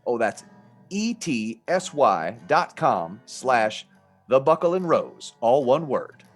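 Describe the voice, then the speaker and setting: A man's voice: mid-range voice, big pitch swings, slow. Man playing folksy shopkeeper over guitar. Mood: obliging. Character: courteous folksy male leather shopkeeper in comic ad. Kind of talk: comic radio ad sketch.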